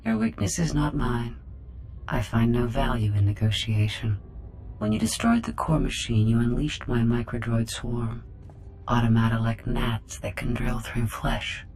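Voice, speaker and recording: A man voicing a cold, contemptuous, menacing artificial intelligence in a game, speaking in a measured, haughty, formal way. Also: medium pitch, animated, a little mellow, slight background noise. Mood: cold menace. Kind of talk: game cutscene voice acting.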